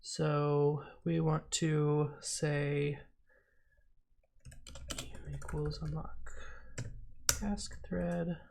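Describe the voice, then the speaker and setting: A man's voice: a little high, flat delivery, very slow, creaky, pauses often, darkish tone, a little hiss or hum. Stuffy-nosed man mumbling through code. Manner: thinking aloud with many fillers, trailing off to mutter while typing. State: stuffy, sniffly nose. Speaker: programmer streaming a coding session. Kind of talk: casual screen-share coding walkthrough.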